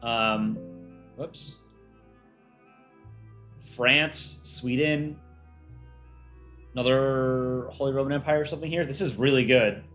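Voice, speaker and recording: A man's voice: mid-range voice, slow pace, pauses often, fairly bright tone, faint music behind. A man hosting an art contest on a livestream, reading out viewer chat and answering it offhand in casual talk.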